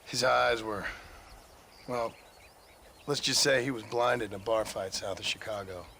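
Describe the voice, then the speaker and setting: An actor playing a gruff, guilt-ridden American outlaw trying to reform, halting and plain-spoken, in a video game. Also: male voice, lowish voice, fairly even intonation, sharp tone, a little hiss or hum. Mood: weary, grim.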